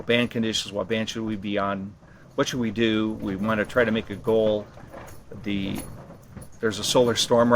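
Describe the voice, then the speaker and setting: A man with a fairly low voice talks casually, as if answering interview questions. He rambles a little, stopping to rephrase and correct himself. There is clear background noise on the recording. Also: fairly bright tone. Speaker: interviewee, ham radio expedition team member. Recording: clearly noisy location sound.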